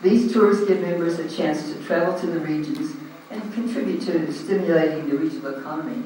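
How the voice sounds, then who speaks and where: A man's voice: on the high side, a little fast, a little hiss or hum. Australian man chairing in an echoey hall. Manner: loud, informal, hesitant with frequent ums. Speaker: meeting chair standing in for the president. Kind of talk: chairing a community meeting. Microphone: handheld microphone. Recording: echoey hall, recorded from a distance.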